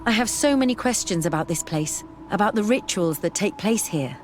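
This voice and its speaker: A woman's voice: mid-range voice, animated, moderate pace, a little hiss or hum, close to an everyday voice. An actress playing an earnest, composed female scholar in a video game, polite and sincere. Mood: earnest, curious. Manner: polite, clear-headed, sincere. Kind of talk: scripted video game acting.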